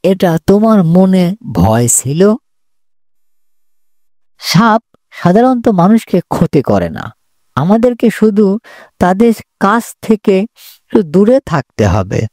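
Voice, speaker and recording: A man playing a patient, encouraging uncle in a children's cartoon, speaking in a measured, instructive and reassuring way. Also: high voice, sing-song, a little mellow, no room sound, studio quality, full character voice. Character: patient, encouraging uncle mentoring his young nephew. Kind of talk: children's moral-story cartoon dialogue.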